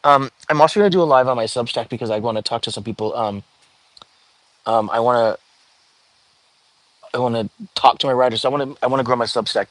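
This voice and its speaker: Chatty man with a calming voice. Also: mid-range voice, dry recording, rough recording. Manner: chatty, reads viewer comments aloud, many fillers and restarts. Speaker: livestream host. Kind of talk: casual live-stream chat.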